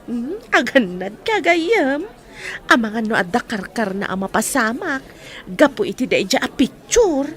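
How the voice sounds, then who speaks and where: A woman's voice: on the high side, very animated, unhurried. A woman with an Ilocano accent playing a cautious, supportive young student in a radio drama. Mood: cautioning.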